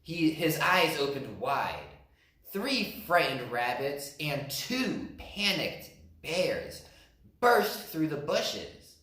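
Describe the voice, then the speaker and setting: A man, a teacher, reading a picture book aloud with slow, expressive narration. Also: fairly high pitch. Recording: echoey room.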